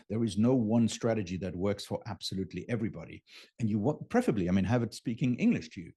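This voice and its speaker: Husky low-voiced man coaching, native German speaker. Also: dark tone. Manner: emphatic, informal, checks in with "right?" and "okay?". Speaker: business coach hosting a live session. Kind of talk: unscripted teaching talk with drawn illustrations.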